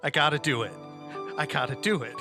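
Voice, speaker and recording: A man improvising as a player on a tabletop game podcast, stammering and halting as he narrates and speaks in character. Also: mid-range voice, loud music behind the voice.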